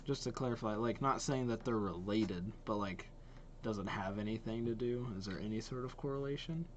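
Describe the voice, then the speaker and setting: A man's voice: lowish voice, a little fast, lo-fi sound. Young man reacting casually over music. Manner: hesitant, informal, musing aloud. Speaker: reaction video host. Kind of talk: casual running commentary. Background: game trailer music.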